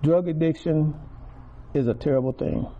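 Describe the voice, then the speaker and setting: A man's voice: a little high, moderate pace, lo-fi sound. A man, giving a preaching testimony to a congregation, repeating key words and building volume on stressed phrases. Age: 60s.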